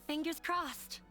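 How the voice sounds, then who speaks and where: A friendly young woman in a video game. The voice is high and composed, with faint music behind it. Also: sharp tone, heavily acted. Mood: hopeful.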